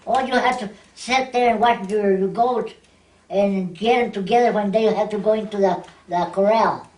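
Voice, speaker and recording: A woman's voice: mid-range voice, normal speed, darkish tone. A ninety-year-old woman reminiscing casually and plainly, often repeating her phrases. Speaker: oral history interviewee. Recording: echoey room, distant microphone.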